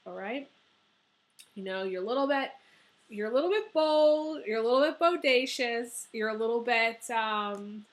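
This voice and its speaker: A young woman with a hoarse, fading voice, reading tarot in a casual, rambling way with many pauses and ums. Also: a little high. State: hoarse, losing her voice. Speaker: tarot reader.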